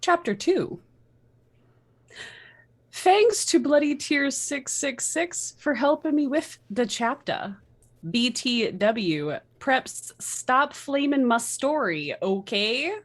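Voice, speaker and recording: Woman reading as a slow, touchy teenage goth author. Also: high-pitched, animated, voice pushed up, exaggerated voice. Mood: touchy, defiant. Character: gushing, touchy teenage goth girl writing author's notes. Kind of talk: dramatic reading of fan fiction.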